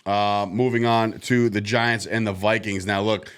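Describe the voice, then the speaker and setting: Male co-host, lower register, loud and excitable sports chatter. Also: unhurried, clear, resonant tone. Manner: loud, laughs often, excitable. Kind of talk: casual sports chat.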